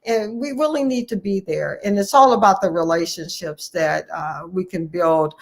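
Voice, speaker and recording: A woman's voice: on the low side, normal speed. A woman who works as a family physician talks as an interview guest. Her delivery is conversational and earnest. She stresses her points firmly, often saying a phrase again for emphasis. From United States, Ohio.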